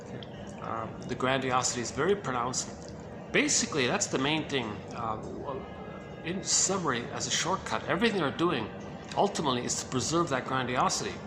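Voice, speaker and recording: A man with a low voice giving an informal, rambling talk on psychoanalysis, often saying "okay" and "uh" as he goes. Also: animated, brisk, music in the background. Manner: rambling, lots of "okay" and "uh" fillers. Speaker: lecturer on psychoanalysis. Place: room in a shared building.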